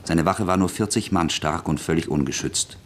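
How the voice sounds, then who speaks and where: Very deep-voiced male narrator. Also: slight background noise. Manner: calm, measured storytelling. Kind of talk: scripted radio drama narration.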